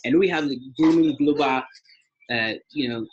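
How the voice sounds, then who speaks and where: A man's voice: on the high side, moderate pace, husky, highs cut off. A young man from Ghana, president of an alumni association, giving a webinar talk with many fillers and restarts. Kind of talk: webinar presentation.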